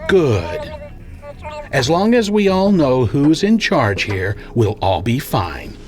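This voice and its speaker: A man's voice: on the low side, sing-song, soft background music. A man playing a domineering, menacing scientist tycoon in a radio drama, speaking in an imperious, sardonic way. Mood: irritated, threatening. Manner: imperious, deliberate storyteller, sardonic.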